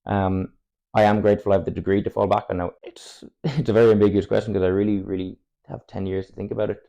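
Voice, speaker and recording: A man's voice: on the low side, steady tone, fast, gravelly, dark tone. A man in his twenties with an Irish accent, a native Irish speaker, chatting candidly as a footballer guest on a podcast, rambling and chuckling often. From Ireland, Cork. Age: 20s. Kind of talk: casual podcast chat.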